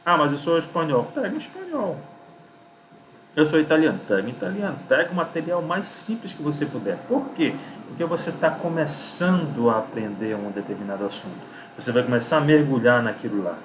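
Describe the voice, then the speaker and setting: A man's voice: on the high side, very animated, a little fast. Talkative man teaching over background noise. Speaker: lecturer giving academic writing advice. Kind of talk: informal video lesson addressing the viewer.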